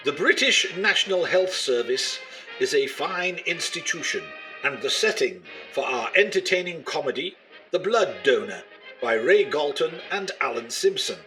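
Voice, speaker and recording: A formal, bright-toned radio announcer over music. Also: male voice, on the high side, poor audio. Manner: deadpan, formal. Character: solemn, deadpan BBC radio announcer introducing a play. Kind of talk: scripted broadcast announcement.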